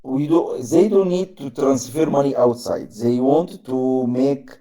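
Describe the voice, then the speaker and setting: A man practicing his English, halting often to find the right word. He speaks very slowly, and his voice has a gravelly fry and a dark tone. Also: medium pitch. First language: Arabic. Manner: halting, searching for words, simple grammar. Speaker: English learner. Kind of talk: casual conversation practice.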